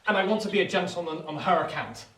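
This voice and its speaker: An actor playing a discontented, lovesick working-class youth who longs to be a gentleman, earnest and impassioned in a radio drama style. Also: high-pitched, expressive, fast talker. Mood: infatuated, agitated. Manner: earnest, impassioned, swinging from petulant to wistful. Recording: roomy echo, distant mic, faint hiss.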